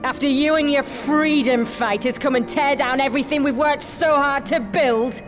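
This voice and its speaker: An actress playing a bitter, defiant young soldier of an empire in a dramatic game cutscene, scornful and often breathy. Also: female voice, sits high, fairly even intonation, moderate pace, soft background music, lightly acted. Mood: bitter sarcasm. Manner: scornful, sneering, breaking into breathy whispers.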